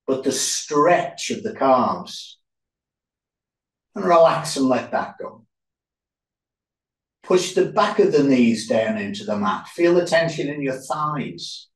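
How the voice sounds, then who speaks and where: A man leading a yoga relaxation as instructor, speaking calmly in short, unhurried phrases with pauses. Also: fairly high pitch. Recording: roomy echo, distant microphone.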